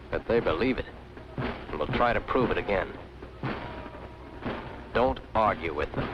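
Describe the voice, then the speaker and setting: A man's voice: fairly low pitch, background noise. An American man reading a scripted 1940s wartime documentary narration in a stern, emphatic way, with clipped dramatic phrasing. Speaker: propaganda film narrator. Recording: dull 1940s archive film soundtrack, music.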